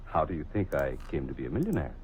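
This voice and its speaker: An actor playing a suave, flirtatious wealthy shipping millionaire in a vintage radio drama, speaking in a polished, flowery manner. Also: male voice, very deep voice, big pitch swings, fast, a little mellow, slight background noise. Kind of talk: 1940s radio acting. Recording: dull old radio recording.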